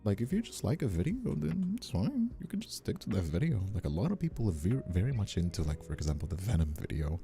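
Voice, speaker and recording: A soft-spoken man chatting casually on a livestream as the host, talking in a hesitant, rambling, reassuring way close to the mic. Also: lowish voice, big pitch swings, quick pace, creaky, few pauses. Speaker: streamer and ASMR voice actor hosting a live stream. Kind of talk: casual livestream chat with ASMR calm. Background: soft instrumental music.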